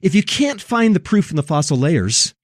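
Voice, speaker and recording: Animated, emphatic male commentator. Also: fairly high pitch, normal speed, dry recording, clean studio sound. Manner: emphatic, preachy, repeats phrases for effect. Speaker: host. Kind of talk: religious commentary on film and culture.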